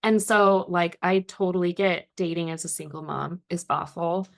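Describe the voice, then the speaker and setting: A woman's voice: fairly low pitch, flat delivery, moderate pace, vocal fry. Gushing woman podcast host. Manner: enthusiastic, gushing reactions. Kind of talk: casual interview conversation.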